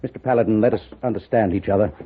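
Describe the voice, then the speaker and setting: An actor playing a proud, determined, wealthy blind father in a 1950s radio western, with a husky voice and formal, commanding speech. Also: on the low side, rapid-fire. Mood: grave, insistent. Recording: narrow, muffled old radio recording.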